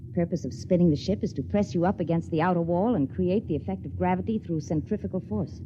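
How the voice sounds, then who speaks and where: An actress playing a blunt, loyal, stern nurse on a spaceship, clipped and reproachful, in 1950s radio drama style. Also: female voice, fairly low pitch, quick pace, dark tone, lightly acted. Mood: grave, firm. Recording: dull, hissy old radio broadcast.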